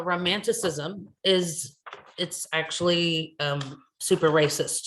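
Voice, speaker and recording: American woman on a video call, lower voice, talking slow and hesitant like she's thinking it through. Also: a bit bright. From United States. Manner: thoughtful, hesitant, many ums. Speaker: academic guest speaker. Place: home in New Zealand.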